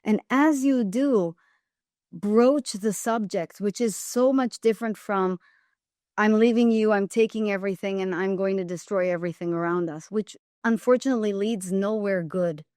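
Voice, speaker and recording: An Israeli woman talks as a podcast guest in a mid-range voice. She is emphatic and measured, and she repeats phrases to drive them home. The recording is dry and close. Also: medium pitch, darkish tone, no room sound. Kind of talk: podcast interview.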